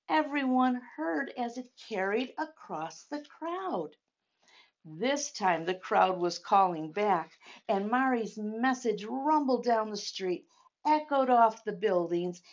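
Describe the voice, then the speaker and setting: A woman's voice: a little high, muffled recording. A woman reading a story aloud to young children, addressing them directly with questions and emphatic phrasing. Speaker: children's storytime host at a church.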